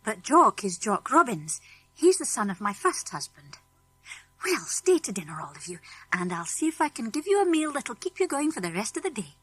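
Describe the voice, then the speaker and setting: A woman's voice: a little high, sing-song, moderate pace, fairly bright tone, slightly rough, faint music behind, full character voice. A woman voicing a kindly, hospitable farmer's wife with a British accent in a children's audiobook. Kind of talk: children's audiobook character acting.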